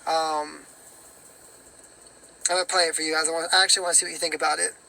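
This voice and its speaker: Young male singer, raspy and hoarse, bright high voice, loose, filler-heavy livestream chat. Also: little pitch movement, quick pace, poor audio. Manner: rambling, with many fillers and self-corrections.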